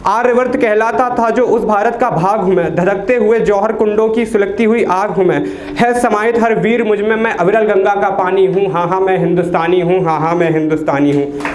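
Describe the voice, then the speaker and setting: High-voiced teenage poet declaiming in a roomy hall. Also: male voice, fairly even intonation, normal speed, darkish tone. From India. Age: teens. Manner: declamatory, with a chanted refrain that falls low. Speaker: poet at an open mic. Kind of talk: reciting Hindi poetry. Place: open mic venue. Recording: echoey room with faint noise.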